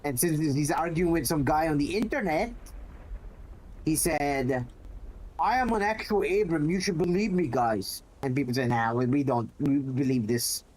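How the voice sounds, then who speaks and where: A man's voice: fairly high pitch, moderate pace, a little mellow, a little hiss or hum, poor audio. Filipino-accented man chatting over gameplay. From Philippines. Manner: chatty, profane, thinking aloud while playing. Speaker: streamer. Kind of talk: casual gameplay commentary.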